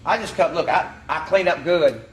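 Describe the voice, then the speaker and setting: A man's voice: on the high side, normal speed, echoey room, low-quality recording. A man, a pianist and singer, talking in a folksy, joking way on a reality show. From Georgia, US.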